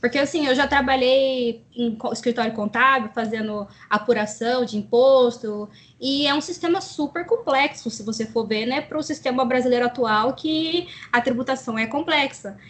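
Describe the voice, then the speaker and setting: Woman, podcast host, conversational, a bit high voice. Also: moderate pace, hardly any pauses. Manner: conversational, adds points from her own experience. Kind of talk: podcast discussion.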